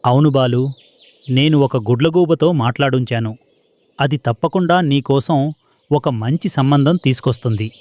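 A man's voice: mid-range voice, moderate pace, darkish tone, dry recording, close to an everyday voice. A man voicing a concerned, helpful pigeon friend in a children's bird cartoon.

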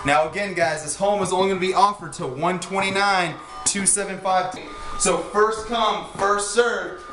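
A man's voice: high-pitched, steady tone, moderate pace, a bit bright. Man enthusiastic young salesman touring a house. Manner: enthusiastic, pushy salesman patter. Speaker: home salesman giving a walkthrough tour. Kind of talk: upbeat sales pitch. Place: inside an empty show home. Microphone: handheld camera from a few steps away. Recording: echoey rooms, mic at a distance, music behind.

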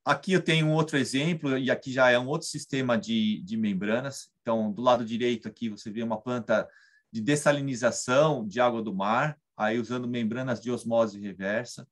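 A man's voice: mid-range voice, fairly even intonation, a little fast. A man in his thirties with a Brazilian Portuguese accent, explaining a technical topic over slides in a webcam lecture, often adding tag questions. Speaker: engineering guest lecturer. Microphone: webcam on video call.

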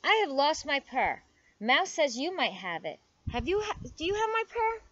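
Woman voicing a small pleading kitten, high and questioning. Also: very animated, normal speed, fairly bright tone, highs cut off. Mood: quiet, pleading. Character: small tired questing kitten, sweet and polite.

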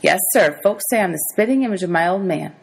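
A woman voicing a quick-witted young boy in a storybook, polite, guarded and deferential. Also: fairly low pitch, lively intonation, vocal fry, breathy edge, voice pushed up, full character voice. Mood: boastful. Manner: deferential, short careful answers.

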